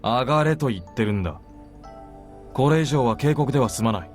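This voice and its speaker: An actor playing a stern, commanding armed soldier in a visual novel game, curt in manner. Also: male voice, medium pitch, expressive, rapid-fire, close to an everyday voice. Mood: cold warning. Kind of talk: Japanese visual novel voice acting. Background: game music.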